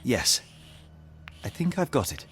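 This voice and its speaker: A man playing a composed, sharp-minded detective in a video game, speaking with precise, measured, analytical authority. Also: mid-range voice, very animated, soft background music. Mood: gentle, coaxing. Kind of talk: dramatic detective game dialogue and deduction narration.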